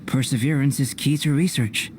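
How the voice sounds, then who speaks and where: Guy doing calm detached young scientist from an anime game, measured n formal, bit of a noisy backdrop. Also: medium pitch, lightly acted. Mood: calm, matter-of-fact. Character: composed, aloof young male scholar, analytical and coolly detached. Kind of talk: anime-style video game voice acting.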